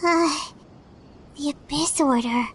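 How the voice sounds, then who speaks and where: A woman voicing a tiny, chatty, curious female companion in a video game, with a squeaky childlike voice. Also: takes it slowly, slight background noise. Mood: alarmed. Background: faint game music.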